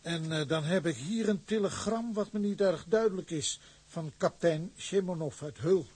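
Husky, deferential office clerk. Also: male voice, high-pitched, normal speed, darkish tone. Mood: dutiful. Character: deferential, orderly office clerk reporting to his boss.

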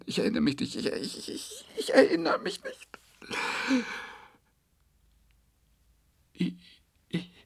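Rambling unstable mental patient in a radio drama, very animated pitch, husky, theatrical. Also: male voice, dry recording, exaggerated voice. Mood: panicked, whispering. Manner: theatrical, rambling, swinging from musing to agitated. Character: unhinged male psychiatric patient confessing to his doctor. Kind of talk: radio play monologue.

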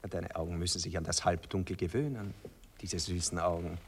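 Deep-voiced, cooing romantic poet. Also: male voice, fairly even intonation, a little fast, slight background noise. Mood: cooing, coaxing. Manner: cooing, effusive, affected. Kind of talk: 1960s German radio drama acting.

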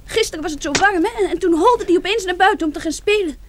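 Brave little girl in a radio play, super high voice, childlike n frank, bit of noise. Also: fast. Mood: earnest. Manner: childlike, artless, matter-of-fact. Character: brave, frank, innocent nine-year-old orphaned girl. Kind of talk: Dutch radio drama acting.

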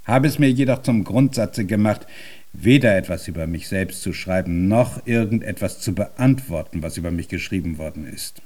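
An actor playing a stubborn, self-important composer in a German radio drama, speaking formally and with pedantic emphasis. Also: male voice, sits low, expressive, normal speed, a little mellow. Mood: dismissive.

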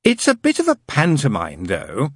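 A man's voice: on the high side, wildly swooping pitch, moderate pace, no echo, clean studio sound, voice pushed up. A man voicing a sarcastic male demon who needles and teases in a wry drawl. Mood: teasing. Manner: sarcastic, teasing.

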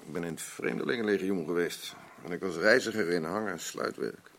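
An actor playing a blunt, rambling working-class husband in a radio drama, boastful, self-pitying and defensive. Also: male voice, low-pitched, brisk, a bit bright, slight background noise. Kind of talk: naturalistic radio drama dialogue.

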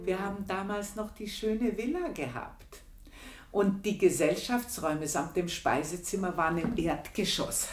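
An actress playing an aging, shrewd maid in a radio play, confiding her memories in a hushed, whispery way. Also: fairly low pitch, measured pace, faint music behind, lightly acted. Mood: wry. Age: older. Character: aging female servant, shrewd and bitter. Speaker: maid recounting her past. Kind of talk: 1980s radio play monologue.

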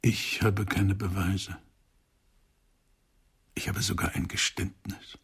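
An actor playing a stern male psychoanalyst in a German radio crime drama, speaking in a measured, formal, accusing manner. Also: deep voice, little pitch movement, a bit bright, husky, exaggerated voice. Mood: grave, quiet. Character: stern male psychoanalyst coldly confronting a murderer.

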